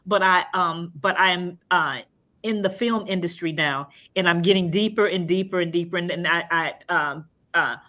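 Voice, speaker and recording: A woman's voice: on the low side, sharp tone. A woman in her sixties, a guest on a podcast, chatting casually from home over a video call with lots of fillers and restarts. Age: 60s. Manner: halting, many fillers and restarts. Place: home room.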